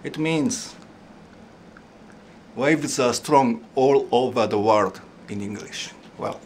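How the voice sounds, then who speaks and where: A middle-aged man speaking slow, halting English with fillers and restarts, introducing a song from his living room at home. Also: mid-range voice. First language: not Tagalog. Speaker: ukulele vlogger. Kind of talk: casual spoken intro to a song. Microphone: camera in front at close range. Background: ukulele playing.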